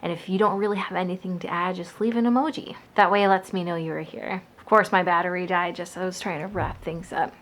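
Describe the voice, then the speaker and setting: A woman's voice: on the low side, steady tone, fast talker, hardly any pauses, a bit bright. A young woman from the Boston area, a book vlogger chatting casually to camera, rambling with lots of asides.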